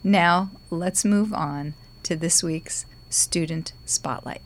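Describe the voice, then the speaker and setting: A middle-aged woman with a gravelly voice, hosting a guitar lesson podcast in a chatty, warm-hearted way with frequent ums. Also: fairly low pitch, slow, heavy background noise. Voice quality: gravelly today. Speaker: guitar teacher and podcast host. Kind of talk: casual podcast talk. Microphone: studio microphone.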